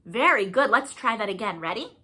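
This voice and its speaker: Lady doing kids storytime, bright forceful voice, swoops up and down a lot, super encouraging. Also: medium pitch, brisk, breathy edge. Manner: expressive, encouraging, simple sing-song address to children. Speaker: children's librarian leading musical storytime. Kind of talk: animated children's storytime, reading, explaining and singing to young children.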